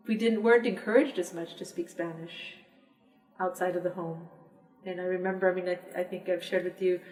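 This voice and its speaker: A woman from the Texas border region speaking as an interview guest, reflective and measured, with frequent restarts. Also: medium pitch. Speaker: interview guest, poet and publisher. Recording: echoey room, distant mic.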